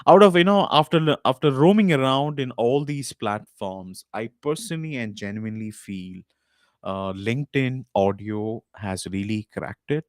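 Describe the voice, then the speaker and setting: A man's voice: medium pitch, moderate pace, no room sound, studio quality. A man hosting a podcast live stream, talking casually and loosely, with frequent fillers and restarts, into a desk mic at a home recording setup. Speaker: podcast host.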